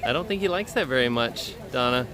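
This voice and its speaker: A man with a slightly hoarse voice chats casually to viewers and friends as he hosts a live stream. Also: mid-range voice, animated, brisk, crowd noise. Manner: chatty, addresses viewers and friends. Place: outdoors at a busy theme park.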